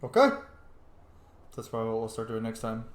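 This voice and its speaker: A man's voice: fairly low pitch, sing-song, a little fast, echoey room. A man giving casual gameplay commentary with offhand reactions as he plays. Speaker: video game streamer. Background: faint game music.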